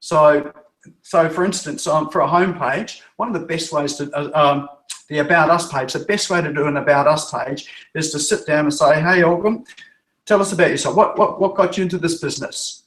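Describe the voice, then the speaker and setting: A man's voice: fairly high pitch, a little fast, a bit bright, echoey room, poor audio. A man from Norfolk Island with a New Zealand accent, a web developer answering interview questions in a chatty, rambling way with frequent restarts. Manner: chatty, restarts and repeats words.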